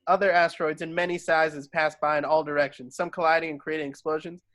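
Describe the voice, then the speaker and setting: A man's voice: on the high side, flat delivery, fast, a bit bright. A man reading screenplay stage directions aloud as scripted narration.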